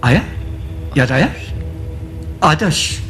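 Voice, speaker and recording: A man's voice: on the high side, sing-song, a bit bright, soft background music, full character voice. An actor playing a forsaken Ideal in human voice, a solemn, persuasive spirit who repeats his words in theatrical radio drama style. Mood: reproachful. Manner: deliberate, echoing his own words, persuasive.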